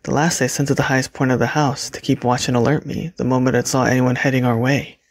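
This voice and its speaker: Someone acting a nervous young ward in an audio drama, middling pitch, asks hesitantly. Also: level tone, fast talker, no room sound, clean studio sound, lightly acted. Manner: hesitant, questioning.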